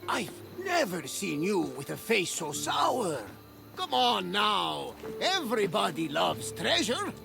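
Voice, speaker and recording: An actor playing a hearty old sailor in a video game cutscene, with a gravelly, theatrical delivery. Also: male voice, high voice, huge pitch swings, takes it slowly, heavily acted. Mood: encouraging. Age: older. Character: boisterous, treasure-hungry old Greek sailor, loyal friend. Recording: loud music behind the voice. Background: music.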